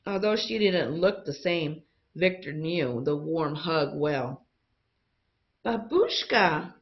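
A woman teacher reading a picture book aloud to young students in an expressive storytelling style. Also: low voice, takes it slowly, dull audio.

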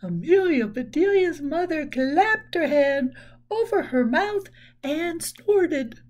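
A woman in her sixties reading a children's story aloud at home, expressive, with simple explanatory asides. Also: high-pitched, slow pace. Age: 60s. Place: small room at home.

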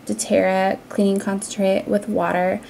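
Young American woman with a mellow voice. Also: mid-range voice, level tone, normal speed, vocal fry, a little hiss or hum. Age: young adult. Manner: conversational, explanatory. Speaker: cleaning vlogger. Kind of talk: casual voice-over narration.

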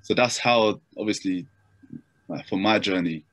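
A man's voice: on the low side, unhurried, fairly bright tone, dry recording. A young man on a video call from his bedroom, telling his story with many fillers and restarts. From Japan. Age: young adult. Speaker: interview guest. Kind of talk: casual video-call interview. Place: bedroom at home. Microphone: laptop webcam mic on video call.

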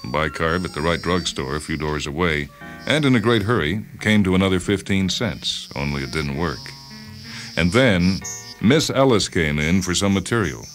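A man with a deep voice narrates a short story for radio. His delivery is measured and expressive. Faint music plays underneath. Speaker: radio host and story reader. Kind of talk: scripted dramatic story narration.

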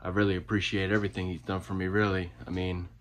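Casual male vlogger, twenties, low voice with flat delivery, laid-back car-build narration on a handheld phone. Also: quick pace. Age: 20s. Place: home garage workshop, indoors. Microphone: handheld phone at arm's length.

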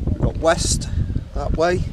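Slow, halting man, very noisy recording. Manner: halting, pausing mid-sentence. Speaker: CB radio operator presenting a video log. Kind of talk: casual unscripted video commentary. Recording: loud outdoor ambience and radio noise.

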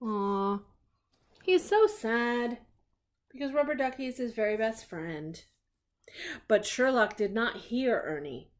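A woman's voice: on the high side, animated, slow pace, pauses often. A middle-aged woman reading a children's story aloud expressively, with chatty asides to young listeners. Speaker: grandmother reading stories on her own show. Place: living room at home.

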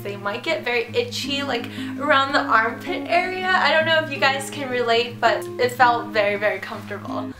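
A young woman in her twenties with a cold and a congested voice, chatting bubbly and enthusiastic in a casual fashion haul vlog from her bedroom. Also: high-pitched. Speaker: fashion vlogger. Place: bedroom, indoors. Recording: roomy echo with background music.

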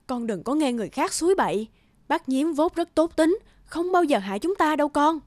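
High-voiced gentle mother bird. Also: animated, fairly quick, dry recording. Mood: gently scolding. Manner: polite, tender, motherly. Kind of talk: cartoon dubbing. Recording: music behind the voice.

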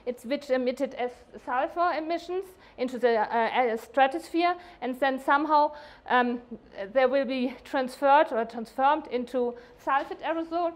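A woman with a high voice presents research to a workshop. She is middle-aged and speaks haltingly, often stopping to rephrase as she explains technical material. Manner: hesitant, halting, self-correcting delivery. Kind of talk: conference presentation. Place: indoor workshop meeting room.

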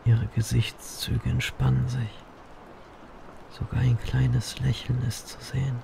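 An actor playing a brooding, wry male grim reaper who narrates his own story in an audio drama, self-mocking and breathy in places. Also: medium pitch, flat delivery, takes it slowly, noisy background. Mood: weary, brooding.

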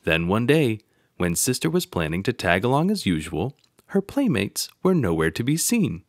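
A man narrating a children's picture book aloud in an expressive storytelling manner. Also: lowish voice, moderate pace, no room sound, studio quality.

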